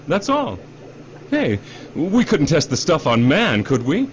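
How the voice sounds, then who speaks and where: Casting: man to play slick middle-aged executive, self-justifying, higher-set animated voice, radio drama. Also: slow pace, heavily acted. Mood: defensive, pleading. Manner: overfamiliar, salesman-like cajoling, repeats himself. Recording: dull radio drama recording, faint noise.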